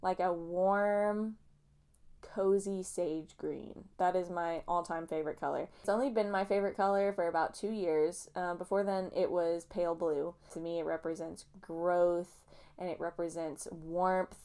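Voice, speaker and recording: A young woman rambles through a book vlog in a loose, self-mocking way and keeps wandering off topic. Her voice is smooth and clean, with a slight nasal, sniffly edge from allergies. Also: on the low side, fairly even intonation, measured pace, a little mellow. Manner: chatty, self-mocking, goes off on tangents. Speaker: booktuber.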